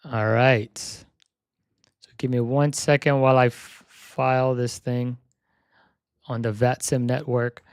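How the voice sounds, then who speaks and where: A young man chats casually on a live stream while setting up a flight, thinking aloud as he goes. His voice is slightly hoarse with a gravelly edge, and the mid-pitched delivery sounds close and dry, with no room around it. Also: little pitch movement, slow pace, pauses often, no room sound. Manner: repeats "yep" while checking items.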